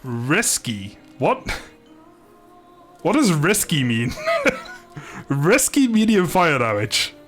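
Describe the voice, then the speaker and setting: A man's voice: a little high, big pitch swings, extremely slow, long pauses, soft background music. A man doing casual live game commentary, chatting informally with viewers, joking and swearing mildly. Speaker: game streamer.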